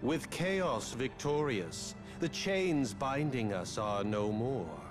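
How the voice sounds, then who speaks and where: A haughty, slow-talking game villain. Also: male voice, medium pitch, animated, over music. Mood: grandiose menace. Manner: grandiose, taunting, deliberate. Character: haughty, scheming male villain returned from the afterworld. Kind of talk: video game villain acting.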